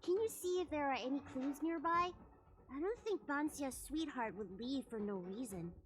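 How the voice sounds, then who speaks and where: High-pitched little girl healer. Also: lively intonation, normal speed, soft background music. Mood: firm, reassuring. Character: small, spirited young girl healer, confident and caring. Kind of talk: video game voice acting.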